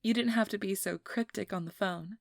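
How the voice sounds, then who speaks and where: A woman playing a determined, wounded vampire hunter in an ASMR roleplay, with a breathy, husky voice that often drops near a whisper and an intimate, intense delivery. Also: mid-range voice, brisk, no echo, studio quality. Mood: teasing, casual. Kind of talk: ASMR roleplay audio drama.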